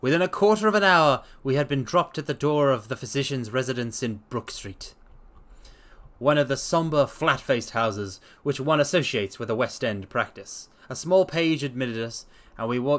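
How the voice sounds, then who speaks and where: A man's voice: medium pitch, normal speed, a bit bright. A man streaming a dramatic reading, narrating a detective story and chatting casually with his audience.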